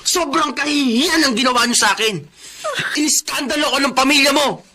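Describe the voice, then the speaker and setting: A man's voice: very high-pitched, brisk, heavily acted. A man with a Filipino accent playing an arrogant, scornful philandering husband in a radio drama. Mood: bitter, threatening. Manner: forceful, scornful.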